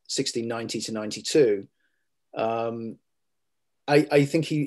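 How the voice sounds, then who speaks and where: A middle-aged man, a guest scholar, talking in a measured and halting way with many fillers and restarts, recorded over a video call from a room at home. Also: medium pitch, a little mellow. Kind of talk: academic discussion on a video call.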